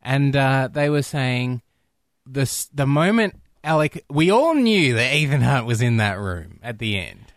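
A man co-hosting a film podcast, excitable and quick to laugh, sometimes breaking into loud impressions. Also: medium pitch, dry recording, clean studio sound. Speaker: podcast co-host. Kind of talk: casual film discussion.

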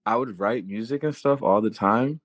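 A young man from Florida, born in Haiti, a rapper and songwriter talking casually as a podcast guest in a relaxed, slangy way. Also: on the low side, moderate pace, no room sound.

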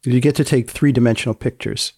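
A man hosting a podcast, prompting in a deadpan, conversational way with short questions. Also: mid-range voice, a little fast, gravelly, breathy edge. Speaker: podcast host.

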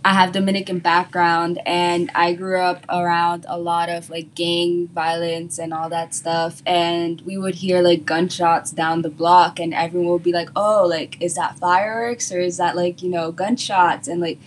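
A woman's voice: lowish voice, flat delivery, moderate pace, few pauses, slight background noise. A young woman from the Bronx, talking casually as a panel guest with lots of fillers. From the Bronx, New York City. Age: young adult. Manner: chatty, many likes. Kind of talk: casual discussion.

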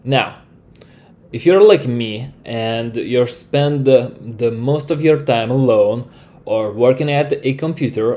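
A man's voice: medium pitch, a little mellow. Lithuanian-accented man, rambling podcast talk. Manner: rambling, many fillers and restarts. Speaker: podcast host. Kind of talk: solo podcast monologue.

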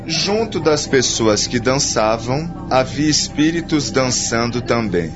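A man's voice: medium pitch, lively intonation, slow pace, crowd noise. A man narrating a scripted radio drama. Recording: dull radio play with background music.

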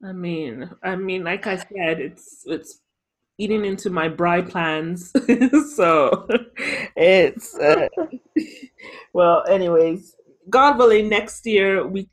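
A woman hosts a casual podcast, her voice sitting a little low. She talks slowly and reflectively, taking her time over each thought. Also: animated. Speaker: podcast host. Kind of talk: casual chat.

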